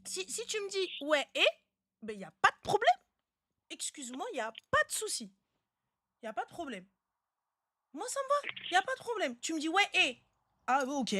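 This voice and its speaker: Very high-pitched, blunt, insistent woman. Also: big pitch swings, moderate pace, long pauses, pushed and bright, a little hiss or hum. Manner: blunt, insistent, repeats words for emphasis. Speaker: live stream host giving relationship advice. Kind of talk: casual live advice chat.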